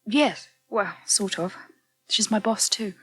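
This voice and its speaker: An actress playing a shaken woman in a radio soap, speaking in a halting, guarded way. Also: medium pitch, husky. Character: shaken, hesitant female assault survivor. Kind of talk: British radio soap acting.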